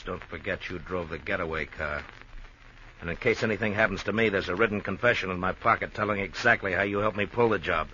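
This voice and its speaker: A cold, taunting male gangster from an old radio crime drama. His voice is low and hard-edged, his talk tough and slangy. The dull vintage recording carries a faint hiss. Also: pushed and bright. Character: menacing, sardonic male small-time gangster, cold and suspicious.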